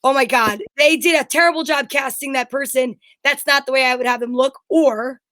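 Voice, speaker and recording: A woman's voice: sits high, moderate pace, sharp tone, dry recording, clean studio sound. A woman co-hosting a show, chatting casually and informally on a livestream. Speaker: show co-host.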